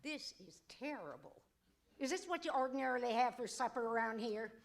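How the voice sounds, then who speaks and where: A woman's voice: on the high side, animated, brisk, creaky. A woman playing a bossy, teasing older mother in an old-time radio Western comedy, with folksy, scolding talk. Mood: disgusted, scolding.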